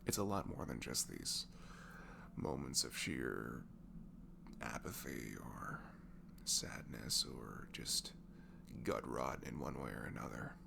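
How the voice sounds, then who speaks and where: A man softly improvising an intimate, comforting voice message to a friend, halting and casual, sometimes slipping into a murmur. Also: very animated, in a whisper. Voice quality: low velvety, gravelly. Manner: gentle, halting, drifts into breathy murmurs, casual teasing.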